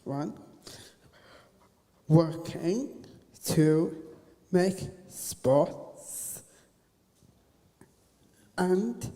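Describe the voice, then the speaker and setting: A man giving an award acceptance speech, saying each word slowly, one at a time. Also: a little high, expressive, warm tone. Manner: very slow, word by word delivery. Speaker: award winner. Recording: reverberant hall.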